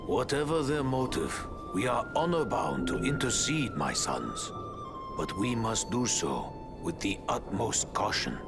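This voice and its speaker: A man playing a wise, fatherly elderly mutant rat sensei in a cartoon video game, formal and deliberate. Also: mid-range voice, sing-song, over music. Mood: solemn, cautioning.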